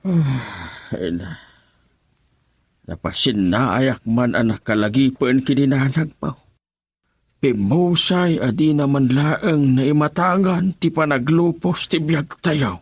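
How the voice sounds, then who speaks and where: A man's voice: mid-range voice, slow, husky. An actor with an Ilocano Filipino accent playing a wistful, fretful elderly father in a radio drama. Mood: wistful, sad.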